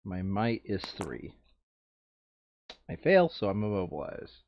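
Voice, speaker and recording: A man's voice: on the low side, big pitch swings, slow pace. A man chatting casually and jokily as he plays a tabletop game. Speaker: board game player. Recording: dull lo-fi sound.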